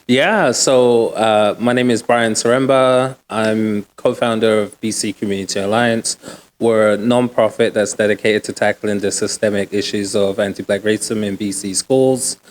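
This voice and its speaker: A man talks easily as an interview guest. His voice sits low, and he is relaxed and slangy, with plenty of ums and likes. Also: normal speed. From Uganda, raised in the UK. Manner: relaxed, slangy, many fillers. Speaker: guest, nonprofit co-founder. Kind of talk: casual interview talk.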